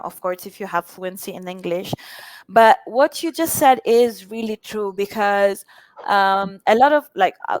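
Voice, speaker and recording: Italian woman talking about her uni, smooth clear voice, lots of ums and uhs, dry sound. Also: medium pitch, dry recording. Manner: hesitant, many fillers. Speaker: university representative. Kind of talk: interview answers.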